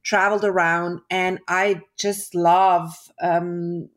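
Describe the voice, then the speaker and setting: Slow, low-voiced woman reflecting. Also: flat delivery. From Switzerland. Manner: reflective, with frequent restarts and fillers. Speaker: guest. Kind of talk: casual interview conversation.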